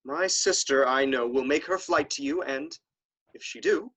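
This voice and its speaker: A haughty, protective brother from Restoration stage comedy, played by a man. His husky voice carries agitation and wounded honour in formal, old-fashioned delivery. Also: mid-range voice, normal speed, a bit bright. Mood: indignant. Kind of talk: theatrical period comedy acting.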